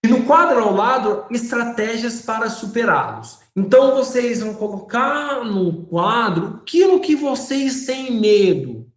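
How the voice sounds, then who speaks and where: A man's voice: very high voice, expressive, measured pace, few pauses, a bit bright. A man with a Brazilian Portuguese accent teaching a religious education class online, speaking patiently and checking in with his students often. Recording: roomy echoey room sound.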